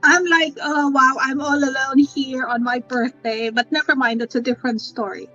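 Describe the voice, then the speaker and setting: A woman's voice: high voice, steady tone, moderate pace, bright, forceful tone, faint music behind. A woman hosts a casual live stream. She chats freely and frets over technical hiccups. Her voice comes through a dull, lo-fi stream. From Philippines. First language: Tagalog. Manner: chatty, fretting over technical glitches. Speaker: livestream host, psychic medium. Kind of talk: casual livestream chat. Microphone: computer or phone mic on a live stream. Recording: dull lo-fi livestream audio.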